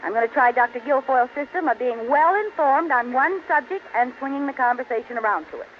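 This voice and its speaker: An actress playing an eager, scatterbrained housewife who shows off misused big words in a theatrical 1940s radio sitcom style. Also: female voice, high-pitched, animated, quick pace. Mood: determined, flustered. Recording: muffled, hissy old radio broadcast.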